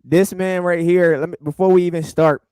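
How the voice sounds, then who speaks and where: Male host, warm mellow tone, dry close sound, easygoing chat. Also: sits high, steady tone, fairly quick, smooth, no room sound. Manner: friendly, loose, lots of fillers. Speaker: interview host. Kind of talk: casual interview.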